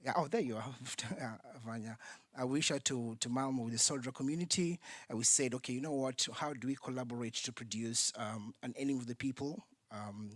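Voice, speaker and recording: A man's voice: medium pitch, a little fast, no room sound. Man telling his story on a panel. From Kenya. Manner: lively storytelling with frequent ums. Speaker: theatre company founder, panelist. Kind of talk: panel talk, personal story. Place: conference centre.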